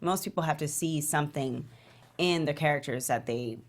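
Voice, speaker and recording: A woman with a distinctly low voice talks through script notes. She sounds conversational and a little hesitant, working out her thoughts as she speaks. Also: normal speed. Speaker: script table read panelist. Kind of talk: casual workshop feedback discussion.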